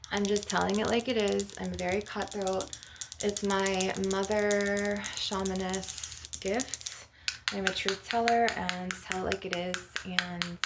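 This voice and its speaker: A woman leads an informal ASMR spiritual session as an energy healer. Her mid-pitched voice has a lot of vocal fry, and she talks bluntly and emphatically in a rambling way. There is faint noise behind her.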